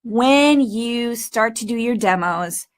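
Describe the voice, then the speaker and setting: A woman giving an informal instructional talk as a voice acting coach, speaking emphatically with stressed pauses and asides. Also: fairly high pitch, lively intonation.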